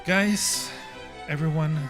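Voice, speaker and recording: A man's voice: a little high, slow pace, over music. A man hosting a livestream, chatting casually with viewers while playing a game. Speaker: livestream host. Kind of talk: casual livestream gaming chat.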